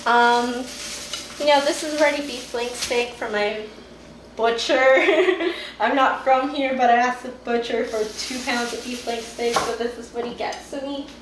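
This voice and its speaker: A young woman in her twenties from Miami chats through a cooking demo, giggling often and taking her time over each word. Her voice sits a little high. She is picked up from a distance in an echoey home kitchen with clear noise behind her. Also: measured pace. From Miami, Florida. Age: 20s. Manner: bubbly, giggly, chatty. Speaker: cooking show contestant. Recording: echoey room, distant mic, noisy.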